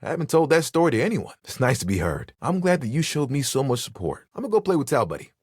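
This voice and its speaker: A man's voice: mid-range voice, sing-song, fast talker, husky, dry recording, studio quality. A man voicing a flirty, laid-back living towel in a video game, playful and heartfelt. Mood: grateful. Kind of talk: video game character acting.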